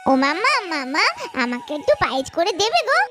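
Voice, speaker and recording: A woman voicing a sweet, demanding little girl in a children's doll cartoon, excitable and whiny, repeating words insistently. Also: sits high, a little fast, loud music behind the voice. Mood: pleading.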